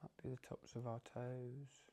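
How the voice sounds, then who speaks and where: A man leading a guided meditation as a teacher, speaking calmly and without hurry, with long pauses between phrases. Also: mid-range voice, gravelly.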